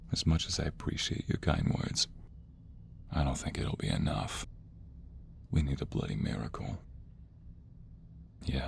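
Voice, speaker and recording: A man's voice: extremely low pitch, gravelly, a little hiss or hum, exaggerated voice. A man playing a protective, troubled mobster who speaks earnestly and tenderly to his lover in an ASMR roleplay. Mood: tender, weary.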